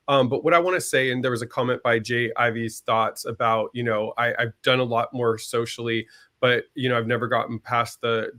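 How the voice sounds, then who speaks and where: Co-host type, man, mid-pitched and earnest, chatty on a video call. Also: pushed and bright. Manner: earnest, talkative, open about personal experience. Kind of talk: casual live-stream chat.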